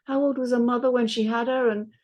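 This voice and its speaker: Middle-aged woman with a higher voice. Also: fairly even intonation, fast talker, a little mellow. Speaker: chair of a script feedback panel. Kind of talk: casual group discussion.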